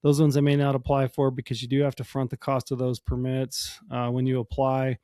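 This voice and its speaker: A man with a hoarse voice from a cold, hosting a solo podcast and explaining things in a casual, rambling way with many fillers. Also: mid-range voice, monotone, a little fast, dark tone, no room sound, studio quality. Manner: casual, explanatory, many ums and you-knows. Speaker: podcast host.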